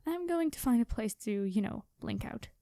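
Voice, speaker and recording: High mellow female voice, acting as cheeky secretive time traveler, chatty, conspiratorial, ASMR roleplay, dry close sound. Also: lively intonation, moderate pace, no room sound. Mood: friendly, reassuring. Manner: casual, chatty, conspiratorial, playful.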